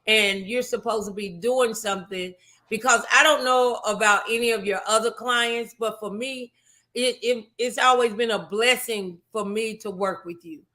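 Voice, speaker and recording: A woman's voice: fairly high pitch, bright, forceful tone. A woman hosting a morning talk show interview, speaking in an effusive, encouraging way and often repeating her phrases.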